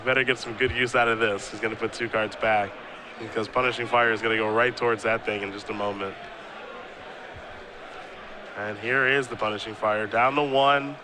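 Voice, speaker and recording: Male play-by-play caller over noise. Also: mid-range voice. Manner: steady play-by-play calling, easygoing in banter. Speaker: play-by-play commentator. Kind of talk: live tournament play-by-play. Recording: noisy live event audio.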